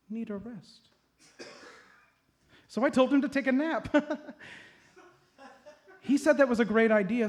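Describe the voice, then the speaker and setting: A man's voice: high voice, darkish tone. A man voicing a sweet, lovelorn older woman, earnest and fussy, in a live comedy radio play. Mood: distressed.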